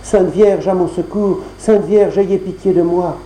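A man's voice: sits high, fairly even intonation, unhurried, dark tone, roomy sound, slight background noise, pitched above the performer's own voice, low-quality recording. Man voicing a sweet young maiden. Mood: pleading.

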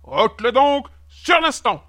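A woman's voice: mid-range voice, lively intonation, normal speed, fairly bright tone. A woman voicing a haughty, furious king in a storybook, imperious and indignant as she makes demands. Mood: outraged, commanding. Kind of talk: storybook character acting.

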